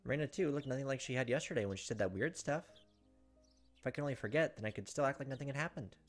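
Quick-reading man over music. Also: medium pitch. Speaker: let's play host. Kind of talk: reading visual novel narration aloud with asides. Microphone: close desk microphone. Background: game music.

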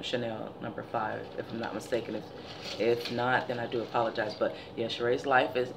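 Deep gravelly woman chatting at home. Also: background noise. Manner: chatty, animated storytelling. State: tired voice. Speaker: beauty vlogger. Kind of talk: casual product haul chat. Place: living room by window blinds, indoors.

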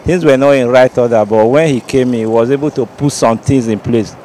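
A man's voice: mid-range voice, fairly quick, warm tone. A Nigerian man in his thirties with a Nigerian accent, answering a street interview earnestly and steadily, stumbling now and then. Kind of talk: vox pop street interview. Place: busy city roadside outdoors. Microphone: handheld reporter mic. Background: traffic and street ambience.

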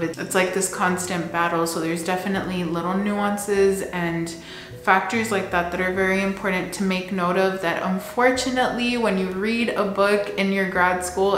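Flat-toned candid woman counselor. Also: mid-range voice, echoey room. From Texas border region, US. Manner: candid, conversational, slips in Spanish words. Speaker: licensed counselor. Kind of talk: casual talk to camera. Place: indoor home room with plants and shelves.

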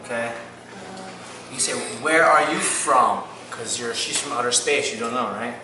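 A man's voice: mid-range voice, moderate pace. A man in his thirties, an English teacher playfully coaching children as they record lines. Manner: playful, prompting and directing. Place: small classroom, indoors. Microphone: camera mic from across the room. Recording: echoey classroom from far off, noisy.

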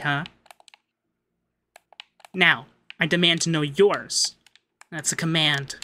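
A man voicing a haughty young femboy demon lord, pompous but easily flustered and secretly soft, in an ASMR roleplay. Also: sits high, slight background noise, exaggerated voice. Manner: pompous commands that slip into flustered cooing.

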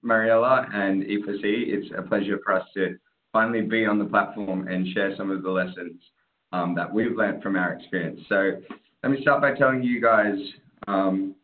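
Man presenting over a tinny call. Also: low-pitched. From Australia. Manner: casual, upbeat, tag questions. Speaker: startup presenter. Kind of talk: webinar presentation. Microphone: video call.

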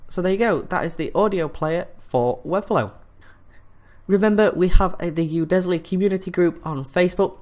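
Man narrating a casual software tutorial. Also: a little high. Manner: casual, friendly instructional phrasing.

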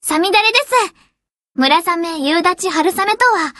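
A woman's voice: very high voice, lively intonation, a little slow, pushed and bright, no room sound, clean studio sound, full character voice. A woman voicing a clumsy, eager young warship girl in an anime game, sweet, polite and earnest. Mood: polite, apologetic. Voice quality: girlish, sweet. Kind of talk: anime game character voice lines.

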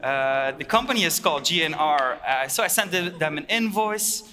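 A man gives a conference keynote on a headset mic. He speaks plainly and haltingly, with many filler uhs. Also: fairly high pitch, lively intonation, bright, forceful tone. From Belgium. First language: Dutch. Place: large hall stage. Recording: long reverb of a large hall.

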